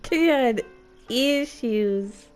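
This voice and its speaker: A woman streamer giving playful, theatrical gameplay commentary with deadpan joking, game music behind her. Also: a little high, extremely slow. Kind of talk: playful live gameplay commentary with improvised dramatics.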